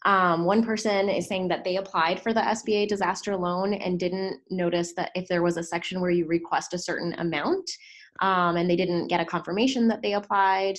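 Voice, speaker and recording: Lowish-voiced woman moderating a webinar. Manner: polite, conversational. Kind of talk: informal question relay. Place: online webinar call.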